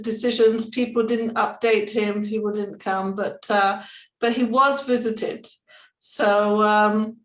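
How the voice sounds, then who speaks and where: A woman with a fairly high voice speaks over a video call from an echoey room. She tells history in halting stretches, full of "um"s and restarts. The room's echo carries a bit behind every phrase. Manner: halting, heavy with "um"s and repeats. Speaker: guest speaker. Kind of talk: historical talk.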